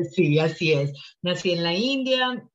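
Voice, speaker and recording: A woman's voice: lowish voice, animated, takes it slowly, muffled recording. A woman, a business consultant answering interview questions earnestly, laughing easily. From Colombia. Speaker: small business consultant, guest.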